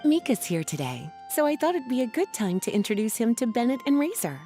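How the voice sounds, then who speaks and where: A woman's voice: on the high side, big pitch swings, a little fast, warm tone, soft background music. An actress playing a languid, teasing, nurturing mentor woman in a video game, with a coy drawl. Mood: playful, teasing. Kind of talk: video game cutscene acting.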